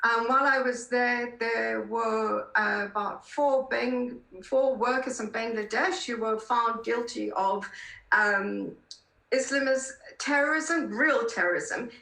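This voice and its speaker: High, bright-voiced South African woman. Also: a little slow, gravelly. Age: 50s. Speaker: podcast guest, political columnist. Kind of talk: conversational interview answers. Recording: roomy, echoing sound.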